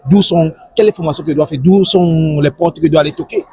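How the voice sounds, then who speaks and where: A man's voice: fairly high pitch, brisk, creaky, warm tone, slightly rough, dry recording, studio quality. A man hosting a casual street interview, asking wordy questions dotted with tag questions. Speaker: interviewer and video host.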